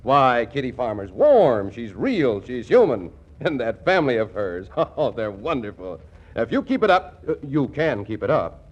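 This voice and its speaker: A man's voice: medium pitch, very animated, moderate pace, husky. An actor playing a pompous, effusive advertising executive in a 1940s radio comedy, drawing out his words. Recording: muffled 1940s radio recording.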